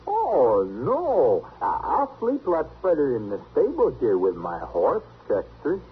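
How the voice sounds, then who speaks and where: An actor playing a naive, stubborn, good-natured backwoods drifter with a rustic Southern drawl, earnest and artless, in old radio western style. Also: male voice, fairly high pitch, wildly swooping pitch, slow pace, breathy edge, narrow, tinny audio, heavily acted. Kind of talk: 1950s radio western acting. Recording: band-limited, noisy old radio recording.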